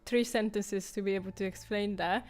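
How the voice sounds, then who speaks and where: Chatty woman with a smooth voice. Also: medium pitch, steady tone, moderate pace, darkish tone. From Italy. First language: Venetian. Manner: chatty, inviting others to share. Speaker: co-host. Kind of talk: casual chat.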